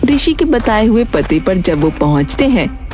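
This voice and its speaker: A woman's voice: mid-range voice, very animated, a little slow, a little mellow, faint music behind. A woman delivering scripted storytelling narration for a fairy tale.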